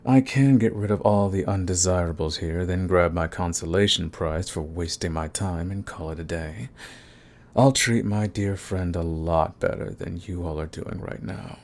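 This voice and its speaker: A man playing a cocky, menacing villain in an audio roleplay, taunting the listener with smug, unhurried threats. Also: deep voice, a little mellow. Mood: cool mocking. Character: cocky, menacing male villain, coolly fond of his enemy. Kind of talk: ASMR roleplay acting, addressing the listener.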